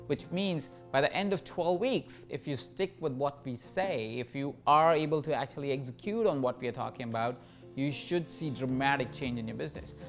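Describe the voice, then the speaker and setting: A man hosting a coaching masterclass, speaking in a motivational teaching way, encouraging and repetitive, prompting listeners to reflect. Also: mid-range voice.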